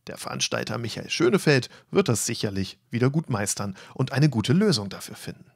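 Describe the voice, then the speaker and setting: A man reading a scripted voice-over for an event report in a lively, upbeat way, with a slightly husky voice. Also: mid-range voice, brisk, dry recording, studio quality. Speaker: channel host narrating event report. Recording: faint music bed behind voice.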